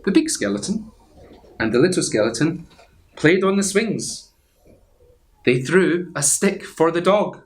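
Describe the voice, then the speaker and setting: A man reading a children's picture book aloud as a teacher would, expressive and suspenseful, drawing out repeated phrases. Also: fairly high pitch. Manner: theatrical, suspenseful repetition with drawn-out phrases. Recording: clear background noise.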